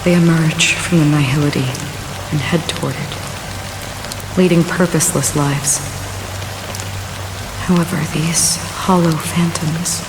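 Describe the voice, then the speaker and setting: A woman voicing a solemn, melancholy guardian of dead souls in a video game, measured, grave and philosophical in manner. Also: low voice, background noise. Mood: grave, detached. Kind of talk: scripted video game voice acting. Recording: loud rain over the voice. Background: steady rain.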